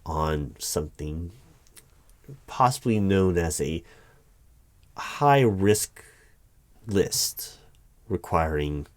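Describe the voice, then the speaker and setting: A man playing a wary, unflappable hiring manager in an audio roleplay interview, speaking in a measured, formal, wordy way with hesitant pauses. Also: deep voice, no echo. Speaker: roleplay performer. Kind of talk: one-sided ASMR audio roleplay interview.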